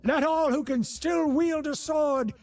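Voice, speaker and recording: An actor playing a proud, zealous warrior commander in a video game, speaking in a formal, commanding, theatrical way. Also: male voice, very high-pitched, a little slow, a little mellow. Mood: rallying. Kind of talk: dramatic video game voice acting. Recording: music under the voice.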